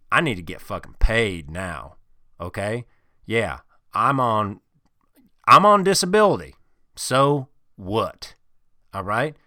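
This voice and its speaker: A loud, profane, swaggering middle-aged football fan, as a man puts him on, ranting from the couch in a lowish, forceful, bright-edged voice. The recording is dry and close. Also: dry recording. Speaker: podcast host in character. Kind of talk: improvised comedy character monologue.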